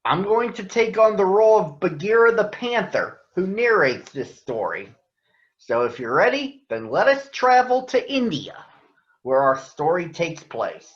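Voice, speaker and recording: A man's voice: high-pitched, big pitch swings, unhurried. A man hosting a virtual picture-book read-aloud, addressing young schoolchildren and their teachers. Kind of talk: picture-book reading to children. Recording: roomy echo, dull lo-fi sound.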